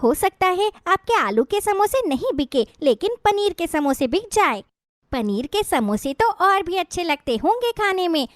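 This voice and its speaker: A high, very lively young girl's voice, put on by a woman for a storybook tale. She is a sensible, doting village daughter who coaxes with enthusiasm. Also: normal speed, no room sound, clean studio sound. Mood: eager, coaxing. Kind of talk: animated storybook dialogue.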